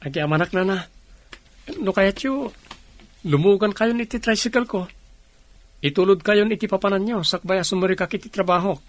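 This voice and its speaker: A man's voice: high-pitched, brisk, long pauses, natural voice. A man playing a helpful, respectful tricycle driver in an audio drama. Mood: friendly, helpful.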